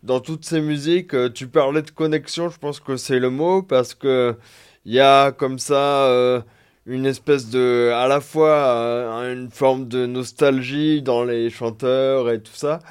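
Man, radio host, unhurried pace, clean resonant voice, hesitant casual chat. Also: medium pitch, fairly even intonation, clear, resonant tone. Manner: hesitant, searching for words. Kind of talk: casual on-air chat introducing music.

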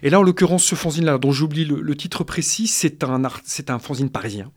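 A man explaining music history in a radio discussion, halting with many restarts and fillers but analytical. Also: on the high side. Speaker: guest expert on music history.

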